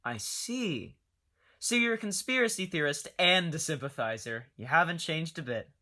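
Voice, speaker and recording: Woman doing a doubtful young passenger, bright voice, lowish. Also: expressive, normal speed, full character voice. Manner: doubting, teasing questions. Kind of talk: amateur audio drama acting.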